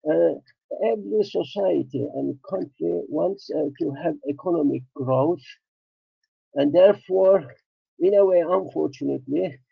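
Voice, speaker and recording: A man with a Turkish accent, a Turkish native speaker, giving an academic conference presentation, halting often with hesitations. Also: fairly high pitch, gravelly, breathy edge, no room sound, narrow, tinny audio.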